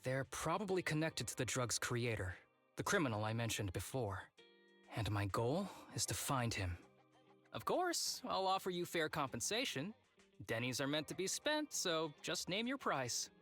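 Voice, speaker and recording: An actor playing a smooth-talking, playful young agent in a video game, articulate and teasing as he addresses his partners. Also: mid-range voice, very animated, normal speed, fairly bright tone, faint music behind. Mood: breezy. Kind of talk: scripted video game voice acting.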